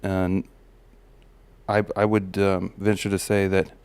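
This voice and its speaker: A man, a parent, sharing life advice in a relaxed personal monologue, with a slight gravel in his voice and frequent pauses and restarts. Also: deep voice, no echo. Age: adult parent of a daughter. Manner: relaxed, musing, many fillers and restarts. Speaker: podcast host.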